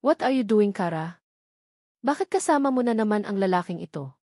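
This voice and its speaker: Lady doing a bossy jealous billionaire husband in a story reading, hot temper, forceful, dry recording. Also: mid-range voice, expressive, moderate pace, no room sound, natural voice. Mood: angry, jealous. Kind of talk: dramatised novel reading.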